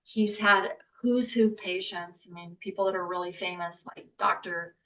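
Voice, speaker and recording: A woman telling a long story in casual chat as a podcast guest, animated, with filler words. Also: on the low side, moderate pace. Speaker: patient's wife, podcast guest. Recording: muffled lo-fi podcast audio.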